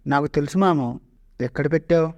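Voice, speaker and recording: A man's voice: on the high side, steady tone, a little fast, no echo, lo-fi sound, lightly acted. A man voicing a childish, forgetful young warrior prince who wheedles cheerfully in a parody cartoon. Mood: curious, eager. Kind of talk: Telugu parody cartoon dubbing.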